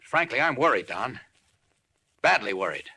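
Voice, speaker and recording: A man's voice: mid-range voice, sing-song, normal speed, very noisy. An actor playing a worried, fretful man in a 1940s radio drama. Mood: anxious. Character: fretful, worried male newspaper reporter.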